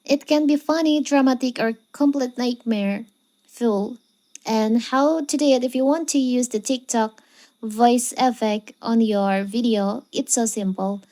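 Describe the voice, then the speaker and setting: A woman presents a scripted how-to tutorial as a channel host. Her voice sits a little high, and she takes her time through each instruction at a distinctly slow pace. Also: warm tone.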